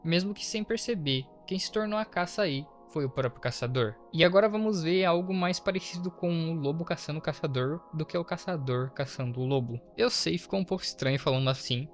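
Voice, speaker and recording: Higher-voiced Brazilian man over background music. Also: fairly quick, few pauses. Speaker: video host, narrator. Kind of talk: horror story recap commentary. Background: faint to clear background music.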